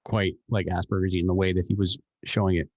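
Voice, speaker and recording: New man co-hosting a podcast, fairly low voice, talks in quick animated rambles. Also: husky, no room sound, highs cut off. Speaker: podcast co-host. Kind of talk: casual podcast chat.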